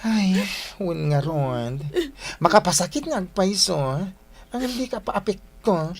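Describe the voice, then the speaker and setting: A woman's voice: lowish voice, lively intonation, measured pace, darkish tone, slightly rough, heavily acted. A woman playing a sympathetic school friend in a radio drama, comforting and advising.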